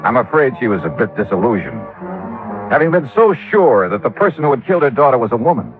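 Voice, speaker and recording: A man's voice: mid-range voice, sing-song, quick pace. An American actor playing a tough, laconic insurance investigator who tells his case in a clipped, wry 1950s radio detective style. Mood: dry narration. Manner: clipped, wry, hard-boiled. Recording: muffled old radio recording, faint music.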